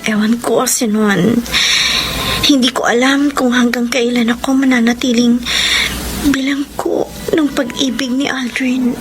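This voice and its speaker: A woman's voice: fairly high pitch, steady tone, a little slow, noisy background. A woman acting a torn young lover in a Filipino radio romance drama, with emotive delivery. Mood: torn, sorrowful. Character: torn young woman still loving her old boyfriend.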